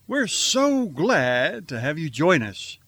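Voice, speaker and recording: Slow, theatrical man playing a bumbling radio host. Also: fairly high pitch, big pitch swings, a bit bright, no room sound, exaggerated voice. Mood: theatrical welcome. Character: bumbling, zombie-obsessed male radio show host. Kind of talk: theatrical radio show introduction.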